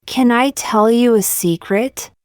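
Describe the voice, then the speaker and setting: A woman reading short practice sentences aloud for learners, saying each one twice, slowly and carefully. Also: a little high, a little mellow, smooth, no echo, studio quality. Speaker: English teacher.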